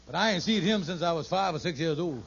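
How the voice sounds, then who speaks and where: An actor playing a scheming, put-upon husband in a 1940s radio sitcom, with folksy dialect and exasperated bluster. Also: male voice, fairly high pitch, lively intonation, fast talker, breathy edge. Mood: rueful storytelling. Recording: old muffled radio recording, noisy studio audience.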